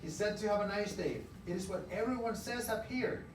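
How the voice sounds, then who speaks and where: Man putting on an excited, high-pitched young boy, echoey room. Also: a little fast, recorded from a distance, natural voice. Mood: confident. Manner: excited, emphatic, raised voice. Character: eager, polite young boy, proud of his grandfather. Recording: roomy, noisy classroom, recorded from afar.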